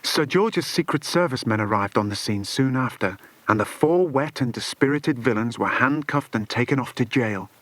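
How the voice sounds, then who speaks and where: A man's voice: medium pitch, moderate pace, hardly any pauses, a bit bright, no echo. A man narrating a children's adventure story as an audiobook, in a dramatic scripted style.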